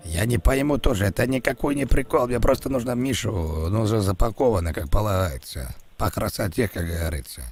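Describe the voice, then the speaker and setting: A man's voice: on the low side, fairly quick, hardly any pauses, a little mellow, soft background music, natural voice. A man voicing a pleading, silly rich businessman in a prank call, stammering and repeating himself as he wheedles.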